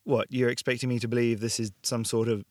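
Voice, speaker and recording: A nervous, stammering man, skeptical and hesitant, sounding slightly raised in pitch, as a narrator plays him. The recording is close and dry. He halts and restarts often. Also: flat delivery, quick pace, a little mellow, no echo, close to an everyday voice.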